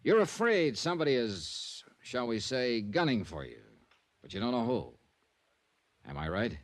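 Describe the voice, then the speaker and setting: A man's voice: medium pitch, big pitch swings, moderate pace, no room sound, close to an everyday voice. A man playing a wry, easygoing insurance investigator who narrates his own case in an old-time radio detective drama. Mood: probing, dry. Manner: wry, dry wisecracks. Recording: vintage radio recording with faint music.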